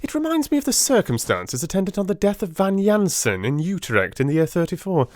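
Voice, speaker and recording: A man playing a brilliant, commanding Victorian detective in an audiobook, questioning briskly and with authority. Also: high voice, no echo, clean studio sound, voice pushed up. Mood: brisk deduction. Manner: rapid, animated, authoritative questioning.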